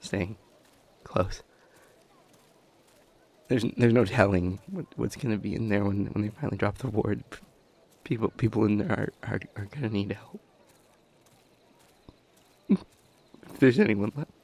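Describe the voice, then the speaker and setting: Man playing a nervous, breathy, stammering young guy. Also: lowish voice, a little slow, pauses often, darkish tone. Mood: anxious, shaken. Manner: heavy nervous stammer, hesitant. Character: anxious, stammering, kind young male disaster helper. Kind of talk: audio roleplay acting.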